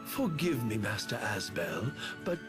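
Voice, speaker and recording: An actor playing a deferential, formal, courteous manservant of a noble house in a game dub. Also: male voice, lowish voice, very animated, measured pace. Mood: apologetic. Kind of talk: video game dub acting. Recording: music under the voice.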